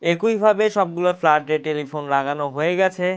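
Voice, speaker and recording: A man's voice: a little high, fast, clean tone, muffled recording. A man narrating a scripted how-to tutorial, explaining step by step. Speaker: tutorial host.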